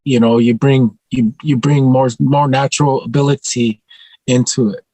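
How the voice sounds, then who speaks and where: A man speaking earnestly on a panel as an actor, thoughtful and halting, often repeating a phrase as he goes. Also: mid-range voice, flat delivery, mellow, no echo, low-quality recording.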